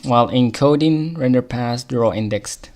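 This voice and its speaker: A man with an Arabic accent and a gravelly, fry-laden voice talks himself through a stubborn bug on a live coding stream. He mutters half-finished thoughts and reads error messages aloud, breaking into short chuckles. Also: medium pitch, a little mellow. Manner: muttering to himself while debugging, quick chuckles.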